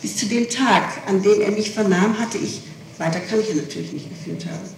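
A woman answering questions in an interrogation, haltingly and insistently, often repeating and correcting herself over small details. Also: mid-range voice, darkish tone. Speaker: person under police questioning. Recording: echoey, distant, noisy old tape.

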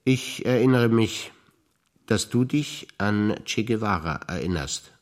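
An actor playing a reflective man recalling memories in a theatrical duologue, speaking in measured, short phrases that often trail off quieter. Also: lowish voice, animated. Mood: deadpan recollection. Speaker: stage actor. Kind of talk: theatrical spoken monologue of memories.

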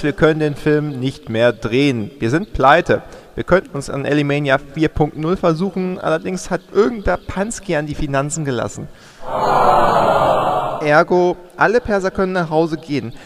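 A man's voice: fairly high pitch, expressive, normal speed, in a large hall, slight background noise. A man playing a bossy, harried film producer in a German comedy audio drama, announcing with exasperation. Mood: brisk announcing.

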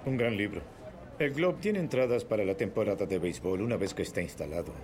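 A man's voice: on the low side, animated, fast talker, darkish tone, lightly acted. A man dubbing a friendly, easygoing investigative team editor in a Spanish film dub.

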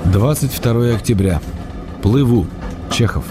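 An actor playing a wry, affectionate man writing letters to his wife, read in a calm, measured, gently ironic way. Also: medium pitch, heavy background noise, close to an everyday voice. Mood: fond. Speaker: letter reader. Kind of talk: radio drama letter reading.

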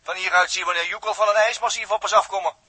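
A man's voice: sits high, steady tone, fast, bright, forceful tone, breathy edge, no room sound, exaggerated voice. A male actor playing a laconic, wry spaceship crewman over a radio intercom in a 1960s Dutch radio drama, hesitant and understated, with a Netherlands accent. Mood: anxious.

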